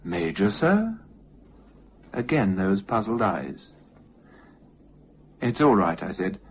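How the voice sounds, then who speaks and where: An actor playing a bewildered gentleman who narrates his own eerie tale in a 1960s radio drama. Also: fairly low pitch, big pitch swings, takes it slowly, exaggerated voice. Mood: uneasy, puzzled. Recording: noisy muffled old radio recording.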